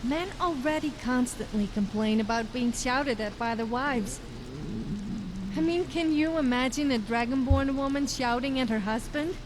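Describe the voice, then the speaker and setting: High-voiced cheeky game companion played by an actress. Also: female voice, moderate pace, background noise, close to an everyday voice. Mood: wry, amused. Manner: joking, wry. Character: cheeky, sarcastic female follower in a fantasy game. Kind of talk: video game companion banter.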